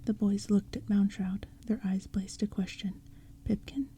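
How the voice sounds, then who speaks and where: A woman narrating a storybook in a soft, hushed whisper. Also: medium pitch, little pitch movement, moderate pace, slightly rough.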